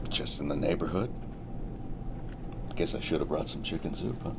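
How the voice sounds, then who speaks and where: A man playing an affable middle-aged brother-in-law feigning friendly concern, chatty and slightly halting, at a table read. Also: a bass voice, vocal fry. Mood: feigned concern. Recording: noisy amateur recording.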